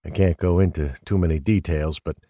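Low-voiced, weary middle-aged detective , played by a man. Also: fairly even intonation, a little fast, warm tone, no room sound, studio quality. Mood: weary, confessional. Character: weary middle-aged male police detective, recovering alcoholic, confessional. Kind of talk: confessional monologue in audio drama.

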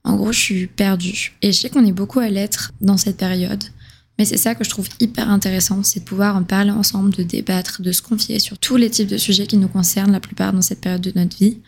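Young woman host with a flat, even voice. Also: mid-range voice, a little fast, vocal fry, husky. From southern France. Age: 20s. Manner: casual, confiding, slangy. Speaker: podcast host. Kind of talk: solo podcast monologue.

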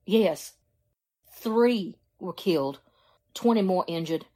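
A woman's voice: lowish voice, animated, slow pace, warm tone. An actress playing a worried, grave small-town wife and mother in a 1940s-style radio drama.

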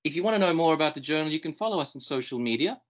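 Man cohosting a podcast, grew up fiji and australia, thinks out loud, repeats words, muffled lo-fi sound. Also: a little high, animated, very fast. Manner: thoughtful, repeats words while searching for phrasing. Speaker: podcast co-host. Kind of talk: casual self-introduction.

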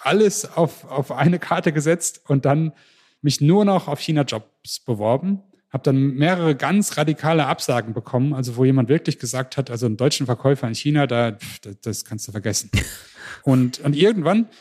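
German male podcast guest, average pitch, animated storyteller who often restarts his sentences. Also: moderate pace, breathy edge. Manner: lively storytelling with frequent restarts. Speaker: interview guest. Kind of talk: casual podcast interview.

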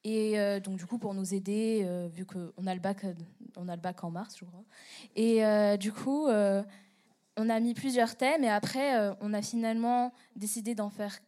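A woman's voice: medium pitch, steady tone, normal speed, warm tone. A teenage girl, a student guest on a radio show, answering a question with a hesitant, searching manner. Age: teens.

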